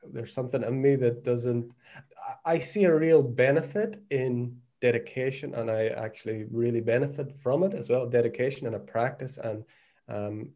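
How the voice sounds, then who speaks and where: A man's voice: mid-range voice, mellow. A man in his forties with a Northern Irish accent, talking earnestly and reflectively as a podcast guest, often repeating words as he thinks. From Northern Ireland. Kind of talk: reflective conversational podcast talk.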